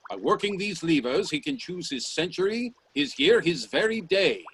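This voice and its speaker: Eccentric professor inventor, kinda high voice, super sure of himself, formal, emphatic, radio drama. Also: male voice, very animated, normal speed, fairly bright tone, a little hiss or hum. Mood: insistent, grand. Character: confident, eccentric male professor and inventor, grandly insistent. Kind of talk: radio play acting.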